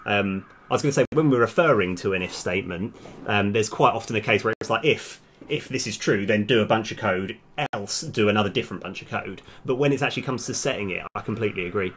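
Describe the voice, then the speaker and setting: A man's voice: lowish voice, fast talker, few pauses, a bit bright, a little hiss or hum. A man chatting casually on a live coding stream, hesitant as he thinks aloud and trails off. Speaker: live-streaming game developer. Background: music, keyboard typing.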